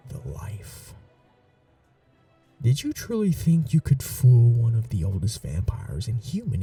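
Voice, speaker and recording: A man's voice: on the low side, creaky, slightly rough, far from the mic, exaggerated voice. A man playing a suave ancient vampire lord. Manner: slow, seductive, softly whispering. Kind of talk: ASMR roleplay.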